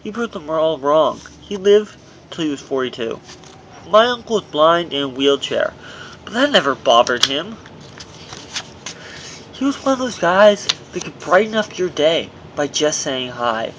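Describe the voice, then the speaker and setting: A man's voice: on the high side, sing-song, a little slow, fairly bright tone, a little hiss or hum, poor audio. A man reading his own memoir aloud as scripted audiobook narration, in an earnest manner with occasional stumbles over words.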